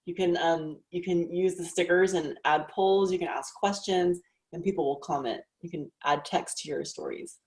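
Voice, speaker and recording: A woman's voice: lowish voice, normal speed. A woman hosting a casual live training chat, talking in an enthusiastic, gushing way. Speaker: host of a team training live.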